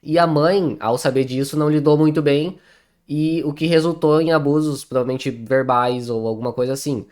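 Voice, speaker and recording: A man's voice: fairly high pitch, moderate pace, darkish tone. A teenage young man with a Brazilian accent, giving casual explanatory commentary as a video essayist. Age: teens. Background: prominent music.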